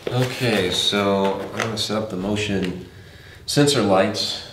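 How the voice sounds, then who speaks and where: A low-pitched man hosts a paranormal investigation. He narrates casually and addresses unseen presences. His voice comes from a distance in a heavily reverberant room, with faint noise underneath. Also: slow. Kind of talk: casual narration and calling out to spirits during a live investigation. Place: indoors in an old house.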